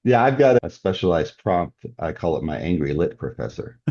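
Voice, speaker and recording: Older male interviewee, extremely low and rough-edged, conversational, lo-fi sound. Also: very animated, moderate pace, dark tone. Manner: chuckles often as he talks. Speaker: interview guest, author. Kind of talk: casual podcast interview. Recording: dull, muffled lo-fi audio.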